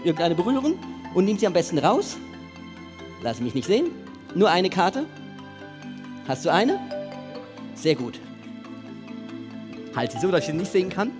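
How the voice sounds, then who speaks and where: Male stage magician, 40s, fairly high voice, playful showman delivery on headset mic, clear music bed. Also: huge pitch swings, normal speed, pauses often. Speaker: stage magician and show presenter. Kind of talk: live stage magic patter to an audience. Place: large theatre stage with seated audience. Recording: music playing behind the voice.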